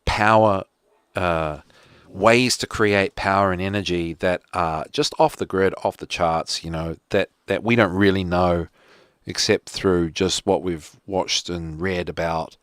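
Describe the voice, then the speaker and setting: A man's voice: deep voice, steady tone, a little slow, a bit bright, clear, resonant tone, no room sound, clean studio sound. A man with a New Zealand accent hosting a casual solo talk show, rambling with lots of fillers and restarts. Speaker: podcast host.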